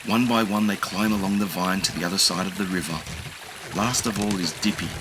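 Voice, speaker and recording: A man narrating a children's audiobook in a gentle, expressive storytelling manner. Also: sits low, fairly quick, noisy background. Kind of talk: children's audiobook read-aloud.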